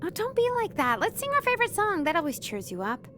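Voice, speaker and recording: A woman's voice: very high-pitched, huge pitch swings, quick pace, a bit bright, slight background noise, full character voice. A woman voicing a bubbly, teasing young woman in a visual novel game, chirpy and playful.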